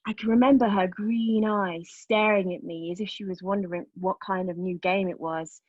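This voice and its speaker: An actress playing a haunted young woman in a dramatic stage monologue, recounting a painful memory in a halting, intense way. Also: medium pitch, steady tone, a little mellow, dry recording, muffled recording, natural voice. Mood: reflective, uneasy. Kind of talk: dramatic monologue read at a table read of a play.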